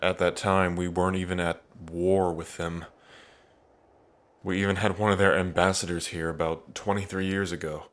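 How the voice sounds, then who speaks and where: A man playing an earnest, devoted dragon prince in an intimate ASMR roleplay, pausing between phrases. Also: low voice, flat delivery, a bit bright. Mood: bitter, sorrowful. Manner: slow, earnest, with long pauses between phrases. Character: lovestruck dragon prince, grieving, tender, devoted.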